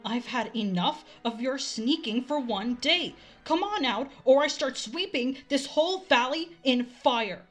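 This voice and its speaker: A woman playing a fierce, lonely young fire dragon with a hot temper in an audio drama, forceful and defensive. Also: high voice, expressive, a little slow, hardly any pauses, faint music behind, heavily acted. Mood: angry, threatening.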